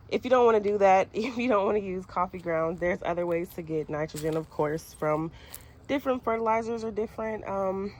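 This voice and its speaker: A woman with a stuffy cold voice giving casual how-to tips in a gardening vlog, chatty and friendly with little laughs and fillers. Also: fairly low pitch. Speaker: gardening vlogger.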